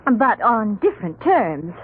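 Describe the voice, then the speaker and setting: Earnest radio-drama wife, muffled recording. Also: female voice, medium pitch, very animated, measured pace, husky. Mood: relieved, firm. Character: earnest, anxious wife, amateur sleuth. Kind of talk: 1940s radio drama acting. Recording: noisy, muffled narrowband 1940s radio recording.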